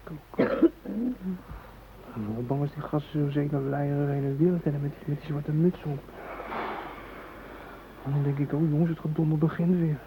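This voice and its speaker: A man's voice: on the high side, flat delivery, moderate pace, pauses often, narrow, tinny audio, close to an everyday voice. Chatty, uneasy man playing a hostage, noisy recording. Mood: uneasy, musing. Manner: colloquial, hesitant, musing. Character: chatty, uneasy male hostage who loves his dog. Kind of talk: naturalistic radio drama dialogue. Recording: noisy radio drama recording.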